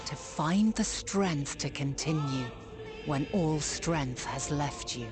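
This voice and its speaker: A woman playing a solemn, compassionate ancient goddess in a fantasy video game, speaking in a grave, poetic and measured way. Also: sits low, lively intonation. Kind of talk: scripted dramatic monologue in a fantasy video game. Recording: voice over prominent music.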